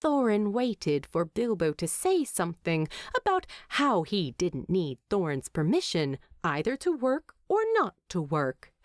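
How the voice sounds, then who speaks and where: A woman reads a story as its narrator. Her delivery is slow and expressive, with plenty of movement in pitch. The recording is very dry, with no audible room. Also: no echo.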